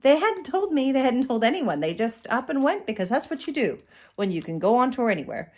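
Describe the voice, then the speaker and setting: A middle-aged woman telling a personal comic story to camera in a theatrical, expressive way with dramatic pauses. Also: high-pitched. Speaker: storyteller, channel host. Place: indoors, small curtained room. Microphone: phone at arm's length.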